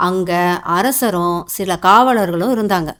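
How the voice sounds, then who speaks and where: A woman's voice: mid-range voice, a little slow, fairly bright tone. A woman narrating a cartoon folktale in a plain, colloquial storytelling way.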